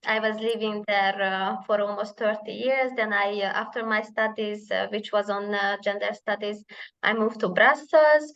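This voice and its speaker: A woman's voice: mid-range voice, a bit bright. A woman in her thirties from Hungary, opening her webinar presentation over a video call with a friendly manner and a few filler sounds. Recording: slightly dull lo-fi call audio.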